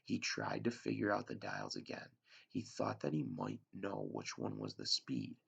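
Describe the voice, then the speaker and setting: A man's voice: on the low side, big pitch swings, normal speed, vocal fry, a bit bright, breathy edge. A man, a teacher, reading a novel aloud to his class, with an unpolished delivery that sometimes stumbles and restarts. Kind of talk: read-aloud storybook narration. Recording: dull lo-fi recording.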